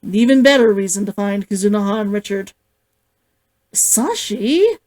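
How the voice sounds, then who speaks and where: A woman narrating a novel as its author, in an expressive audiobook-style read-aloud. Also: mid-range voice, fast.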